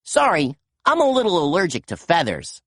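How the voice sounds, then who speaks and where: A woman voicing a brave young male police pup who talks in a bold, shouting way. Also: on the low side, wildly swooping pitch, moderate pace, breathy edge, no room sound, clean studio sound, exaggerated voice.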